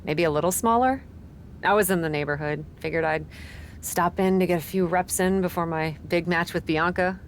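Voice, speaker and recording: A woman voicing a cocky young female wrestler in a game, breezy, flippant and quick to defend herself. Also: lowish voice, slight background noise, natural voice. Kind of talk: game cutscene dialogue.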